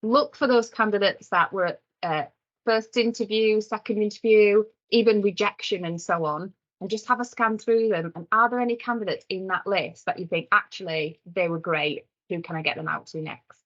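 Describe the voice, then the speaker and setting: A woman giving a conversational instructional presentation as a software trainer in a webinar. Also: medium pitch, normal speed. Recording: slightly dull lo-fi webinar audio.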